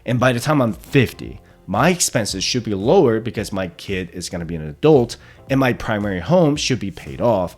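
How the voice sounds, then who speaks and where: A man's voice: fairly low pitch, moderate pace, breathy edge, soft background music. Man in his thirties explaining money. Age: 30s. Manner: steady explanatory, often checks in with "right?". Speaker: personal finance channel host. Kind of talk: explainer walking through retirement numbers.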